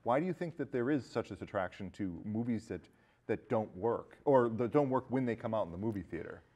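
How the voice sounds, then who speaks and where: A man's voice: fairly low pitch, expressive, fairly quick, warm tone, husky. A man hosting a show, talking casually about films in conversation. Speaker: movie podcast host. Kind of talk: casual film discussion.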